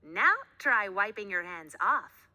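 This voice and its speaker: A woman voicing a patient female schoolteacher in a storybook, instructing clearly and emphatically. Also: mid-range voice, expressive, slow, sharp tone, exaggerated voice. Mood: encouraging.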